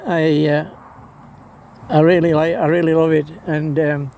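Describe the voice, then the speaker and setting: A man's voice: a little high, level tone, a little slow, a little mellow, a little hiss or hum. A man rambling casually and enthusiastically about his hobby, often repeating words as he hesitates. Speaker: amateur radio hobbyist vlogger.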